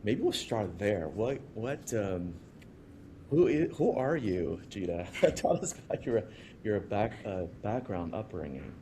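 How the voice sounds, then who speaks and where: A man with a low voice hosts a casual interview. His delivery is informal and halting, with restarts as he puts his questions together. Clear background noise and rumble sit behind him. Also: big pitch swings, mellow. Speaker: podcast host, company co-founder.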